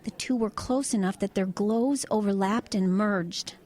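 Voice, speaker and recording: A woman's voice: medium pitch, a little slow, a little mellow, natural voice. A woman playing a level-headed truck driver in an eerie audio drama, talking in an everyday conversational way. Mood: intrigued, thoughtful. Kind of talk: audio drama dialogue and narration.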